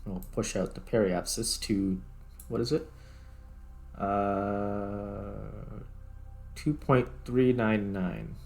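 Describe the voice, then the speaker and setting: A man's voice: on the low side, fairly even intonation, extremely slow, creaky, long pauses, darkish tone, soft background music. A man doing casual gameplay commentary, thinking aloud and talking through each step as he plays. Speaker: gaming video creator.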